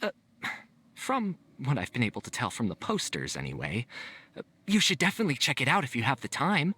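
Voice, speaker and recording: A man's voice: medium pitch, wildly swooping pitch, fairly quick, sharp tone, breathy edge, full character voice. A man voicing a friendly, hesitant young man in a video game, trailing off as he chats.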